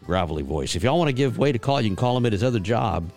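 A man's voice: fairly low pitch, animated, fast, creaky, darkish tone, soft background music. A man co-hosting a casual radio chat, joking and teasing in a folksy way. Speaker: radio show co-host.

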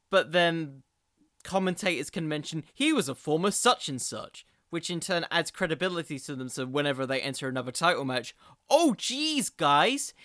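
A man's voice: fairly high pitch, a bit bright, dry recording, studio quality. A man hosting a solo podcast, giving casual, opinionated commentary in an informal, digressive way. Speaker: podcast host.

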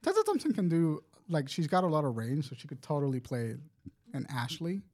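Animated, slightly high-voiced man chatting. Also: a little fast. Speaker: podcast host. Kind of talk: casual chat.

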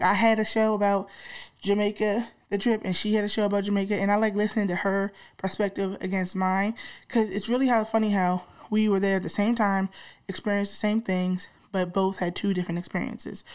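A woman's voice: mid-range voice, a little mellow. Stuffed-up young woman hosting her podcast, slangy and chatty, talking right at the listeners. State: congested, slightly nasal from a cold. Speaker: podcast host. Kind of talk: casual podcast chat.

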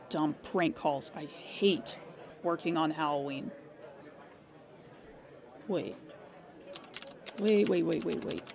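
A woman's voice: lowish voice, gravelly, darkish tone, heavily acted. Woman playing a weary, sarcastic hotline operator. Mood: fed up. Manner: dry, exasperated, clipped official phone manner. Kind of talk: scripted audio drama.

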